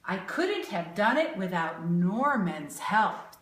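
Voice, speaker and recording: A woman's voice: medium pitch, very animated, slow, roomy sound. A woman reading a storybook, voicing a kind old male sign maker who instructs his young helper matter-of-factly. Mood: kindly, firm.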